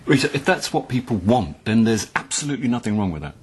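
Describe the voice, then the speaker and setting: A middle-aged English university tutor in a radio drama. His voice is husky and mid-pitched. He speaks in a measured, donnish way, patient and wry, sometimes hesitating. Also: sing-song. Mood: hesitant, mild. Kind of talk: radio play acting.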